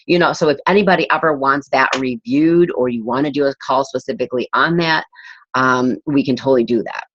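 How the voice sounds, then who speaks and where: A woman's voice: extremely low pitch, brisk, no room sound. A woman leading her team on a group video call, upbeat and emphatic as she encourages everyone. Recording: dull video call audio.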